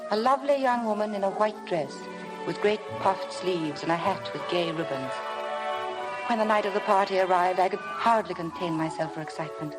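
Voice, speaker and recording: Woman as timid young wife, breathy heightened old radio drama acting, music underneath. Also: fairly low pitch, fairly quick, close to an everyday voice, low-quality recording. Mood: excited, then dread. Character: meek, anxious young wife narrating her story. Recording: vintage 1950 radio broadcast.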